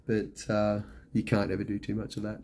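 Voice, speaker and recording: A middle-aged man talks loosely on a live stream, his voice hoarse and worn as if he were losing it. The tone sits low and mellow, and he rambles from one point to the next, padding his sentences with ums and you-knows. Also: monotone, normal speed. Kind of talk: casual live stream chat.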